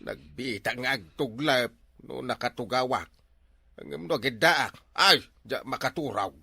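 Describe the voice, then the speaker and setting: A man's voice: medium pitch, unhurried, vocal fry, sharp tone, slightly rough, dry recording, clean studio sound. An older man with an Ilocano accent, playing a father in a comedy drama, drowsy and rambling. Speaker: elderly father.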